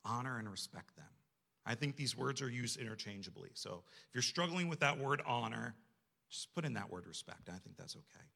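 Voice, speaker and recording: A man's voice: mid-range voice, expressive, fairly quick, vocal fry, bright, forceful tone. A man preaching a sermon to a congregation in a conversational, self-deprecating way, often posing rhetorical questions. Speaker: church staff member preaching.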